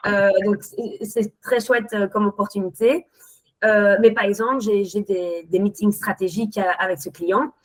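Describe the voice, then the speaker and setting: A woman's voice: mid-range voice, fairly even intonation, moderate pace, poor audio. A woman in her thirties, a webinar guest telling her own work experiences, talking hesitantly with many restarts and tangents. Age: 30s. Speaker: webinar guest, account manager. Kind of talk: spontaneous testimony.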